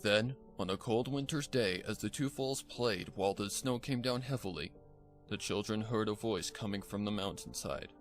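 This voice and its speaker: A man's voice: fairly low pitch, normal speed, faint music behind. A man reading a scripted story aloud as its narrator. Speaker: fan fiction narrator.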